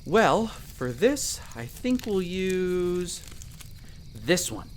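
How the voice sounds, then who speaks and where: A performer voicing a young male elf explorer in a roleplay audio drama, patiently and gently coaching the listener as a magic teacher. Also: medium pitch, big pitch swings, extremely slow, a little hiss or hum. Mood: upbeat, instructive. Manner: gentle, reassuring, coaching step by step.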